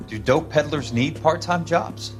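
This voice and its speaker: A man's voice: fairly high pitch, measured pace, faint music behind. A male actor playing a principled, tough war veteran in a video game, blunt, stern and disapproving. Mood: scornful.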